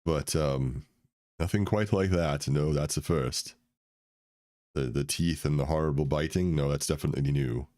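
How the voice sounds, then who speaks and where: Very deep-voiced male game master. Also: mellow, dry recording, studio quality. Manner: hesitant, many pauses and fillers. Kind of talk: narrating a tabletop game.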